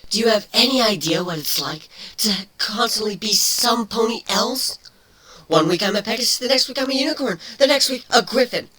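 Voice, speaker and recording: A performer voicing a feisty, defensive female changeling with a sharp tongue in a dramatic story reading. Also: medium pitch, moderate pace, voice pushed up, lightly acted, poor audio. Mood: furious, hurt. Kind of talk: dramatic fan fiction reading.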